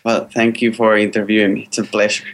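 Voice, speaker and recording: A man's voice: on the low side, level tone, fast talker. Male guest, 30s, from Spain, friendly, hesitant with fillers, speaking over a video call. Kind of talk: casual interview answers.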